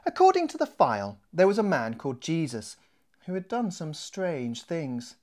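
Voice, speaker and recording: Animated male children's storyteller. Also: fairly high pitch, music in the background. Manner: deliberate, dramatic pauses. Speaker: author reading his children's book. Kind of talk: expressive scripted children's storytelling.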